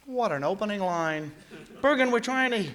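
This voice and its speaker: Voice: mid-range voice, lively intonation, moderate pace, fairly bright tone, slight background noise, lightly acted. An actor playing a cheeky, irreverent ventriloquist's dummy boy who teases and quips in old-time radio comedy. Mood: wisecracking. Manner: teasing, quippy. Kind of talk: 1940s radio comedy acting. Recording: live stage show with audience.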